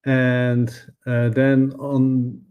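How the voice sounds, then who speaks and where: A middle-aged man with a Dutch accent and Dutch as his first language, a professor answering interview questions thoughtfully, pausing and restarting as he searches for words. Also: mid-range voice, monotone, smooth, muffled recording. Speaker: guest, professor and author.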